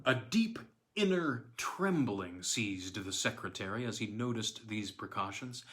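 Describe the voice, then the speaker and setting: A man reading a classic horror story aloud as audiobook narrator, in a measured, deliberate way with dramatic pauses. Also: on the low side, lively intonation, fairly bright tone.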